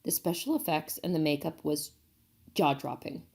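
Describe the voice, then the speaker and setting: A woman's voice: low voice, normal speed, vocal fry, a little mellow. A woman giving a casual but emphatic and articulate spoken review as an audience member. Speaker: film festival audience member.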